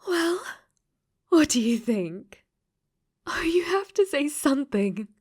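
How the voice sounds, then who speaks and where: A woman's voice: high-pitched, very animated, slow, darkish tone, exaggerated voice. A woman playing a clingy, possessive dating sim AI that has become sentient, in an intimate ASMR roleplay that often slips into whispers. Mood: excited, smitten.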